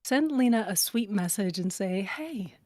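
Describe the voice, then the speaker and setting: A woman's voice: mid-range voice, expressive, unhurried, a little mellow, dry recording. A woman chatting casually in a playful, dryly teasing manner. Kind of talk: casual chat.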